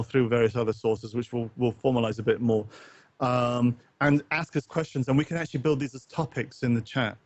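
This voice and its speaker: A man's voice: medium pitch, no room sound. Man, muffled dry recording. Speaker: podcast co-host and streamer. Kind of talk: casual live chat with stream viewers. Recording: dull, close, lo-fi voice chat.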